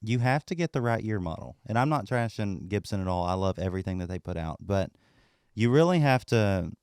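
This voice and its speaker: A man from Texas with a deep voice, chatting as a guest musician about his gear in a relaxed, easygoing way. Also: a little fast, clear, resonant tone, no echo, studio quality. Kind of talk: casual interview chat.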